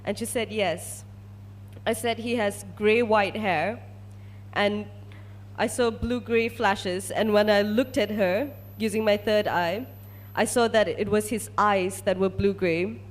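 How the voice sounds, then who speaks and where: Woman from malaysia, mid pitched voice, telling her own experience to a group like a testimonial. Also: steady tone, takes it slowly. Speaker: research scientist giving a testimonial. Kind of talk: personal testimonial to an audience.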